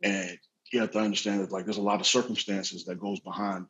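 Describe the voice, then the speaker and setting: A man's voice: deep voice, flat delivery, a little fast, a bit bright, dull audio. A twenty-five-year-old man on a panel, thinking aloud with many fillers. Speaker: panel guest. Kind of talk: casual chat.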